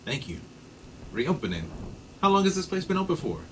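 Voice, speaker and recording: A man plays a late-night customer in a radio play. He is polite and a little hesitant, with a bright, mid-pitched voice that moves up and down a lot. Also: very animated, brisk, slight background noise, rough recording. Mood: polite, tentative. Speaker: diner customer. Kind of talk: audio drama acting.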